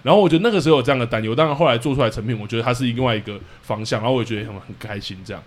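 A man's voice: mid-range voice, very fast. A man with a Taiwanese Mandarin accent, hosting a podcast and interviewing guests in casual chat. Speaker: podcast host.

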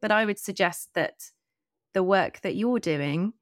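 A woman's voice: medium pitch, unhurried, no echo, studio quality. A middle-aged woman hosting a conversational podcast interview, speaking earnestly and articulately in long, considered sentences.

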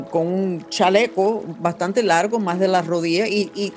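A woman's voice: mid-range voice, a little mellow. Podcast host woman. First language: English. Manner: rambling, meandering with restarts and fillers. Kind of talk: casual podcast conversation.

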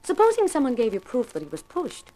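An actress playing a brazen, flirtatious, mercenary former burlesque performer in a 1950s radio mystery, with a sly, teasing manner. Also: female voice, high-pitched, huge pitch swings, brisk, dark tone, low-quality recording.

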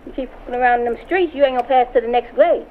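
A woman's voice: on the high side, very fast. A woman playing an impatient mother scolding her teenage son in colloquial speech on a voicemail skit. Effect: phone voicemail filter. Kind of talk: acted voicemail skit from a rap album. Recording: dull lo-fi voicemail with clear background noise.

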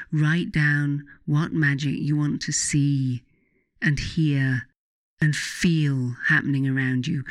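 A meditation guide reads at a very unhurried pace in a soothing, instructing manner. The voice sits in a middle register and is recorded very dry, with no room around it. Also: medium pitch, no room sound. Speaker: author narrating a guided meditation. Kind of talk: guided meditation, scripted narration.

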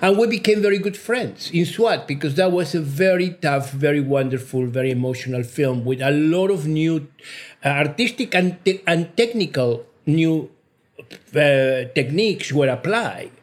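A man's voice: fairly high pitch, animated, normal speed. An older man from Mexico, a Spanish speaker, answering interview questions effusively, repeating words for emphasis. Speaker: cinematographer, interview guest.

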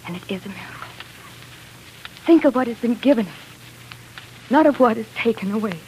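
Actress as tender young sweetheart, fairly high voice. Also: female voice, expressive, a little fast, a little mellow, slight background noise, close to an everyday voice, poor audio. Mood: tender, sad. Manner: earnest, romantic delivery. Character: devoted young woman in love, tender, wistful, resigned. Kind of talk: 1940s radio drama acting. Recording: vintage 1940s radio drama with music.

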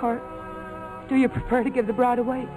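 An actress playing a guilty, worldly young woman torn in love speaks in halting, dramatic phrases. It is an old 1940s radio broadcast, with music playing underneath. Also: fairly high pitch, flat delivery, close to an everyday voice. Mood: bitter, resolved.

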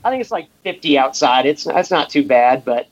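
A man from Massachusetts, a guest telling relaxed, rambling work stories in a casual interview. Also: fairly high pitch, a little fast, no room sound, dull audio. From Massachusetts, USA. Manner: laid-back, rambling, many fillers. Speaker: guest, race shop welder.

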